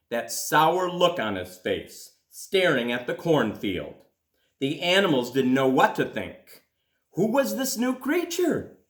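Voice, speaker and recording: A man reads a children's story aloud at a slow, careful pace, with plenty of dramatic pauses. His pitch swings widely as he narrates. The room around his voice is noticeably echoey. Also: a bit bright. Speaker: school principal reading aloud.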